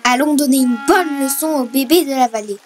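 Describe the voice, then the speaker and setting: A woman's voice: sits high, fairly quick, natural voice. A woman voicing a small, playful, giggly fairy girl with a childlike voice in a children's cartoon. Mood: scheming. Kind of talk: dubbed children's cartoon acting. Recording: children's cartoon music behind.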